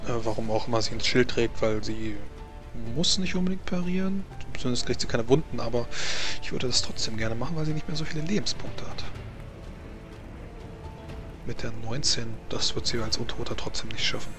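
A man's voice: medium pitch, fairly quick. Man as game master, music behind. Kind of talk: running an online tabletop role-playing game in casual German.

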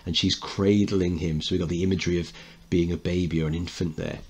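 Low, husky male teacher. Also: steady tone, a little fast, darkish tone. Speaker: teacher and narrator. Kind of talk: dramatic reading with informal explanation.

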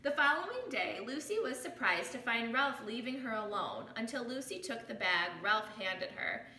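A woman with a bright, fairly high voice reads a picture book to children like a teacher. She is expressive and explanatory. The recording is roomy, made in a living room, with faint noise behind her. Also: normal speed. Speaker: teacher reading aloud. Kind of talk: children's picture book read-aloud with commentary. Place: small living room, indoors.

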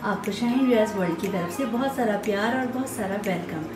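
A woman's voice: mid-range voice, a little fast, roomy sound, background noise. A woman from Pakistan giving chatty step-by-step cooking instructions in her home kitchen, often checking in with her viewers. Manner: chatty, with many fillers and "thik hai" checks. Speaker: cooking vlogger.

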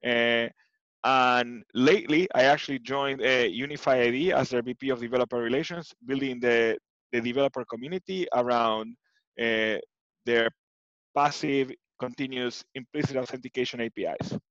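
A man in his forties with an Argentine accent, a native Spanish speaker, answering interview questions in a halting, searching way over a headset on a video call. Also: mid-range voice, steady tone, a bit bright, dry recording. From Buenos Aires, Argentina. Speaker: interview guest, tech executive. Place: living room at home, indoors. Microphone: headset with boom mic, video call. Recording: slightly muffled video-call audio.

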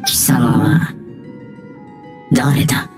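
A woman voicing a sinister, haughty little masked mage villain in an anime game, halting and menacing. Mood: suspicious, menacing. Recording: echoey, distant, faint music behind.

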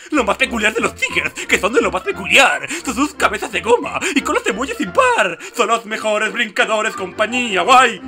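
A man voicing a bouncy, boastful, exuberant tiger in a cartoon dub, with a gravelly voice and a Castilian Spanish accent. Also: high voice, sing-song, moderate pace, few pauses, over music. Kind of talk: cartoon fandub audition.

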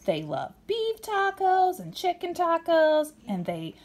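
A woman reading a picture book aloud to a young child in a playful, expressive way with dramatic emphasis. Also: very high voice, slow. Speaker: storybook reader.

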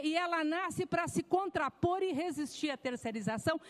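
A woman in her sixties from southern Brazil, speaking at a podium microphone as a panelist in a formal public hearing, emphatic and gesturing. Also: high voice. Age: 60s. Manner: emphatic, gesturing with both hands. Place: large hearing room.

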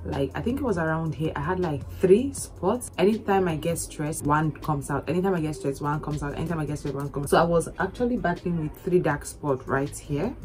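Chatty hoarse young woman vlogging. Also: lowish voice. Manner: chatty, animated, repeats herself for emphasis. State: hoarse, recovering lost voice. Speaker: beauty vlogger. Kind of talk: casual skincare vlog to camera. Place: indoors against plain orange backdrop.

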